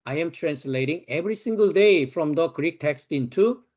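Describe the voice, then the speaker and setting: A man with a Korean accent, a Bible teacher giving a study lecture, slowly and emphatically repeating key words. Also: a little high, animated, a little mellow, lo-fi sound.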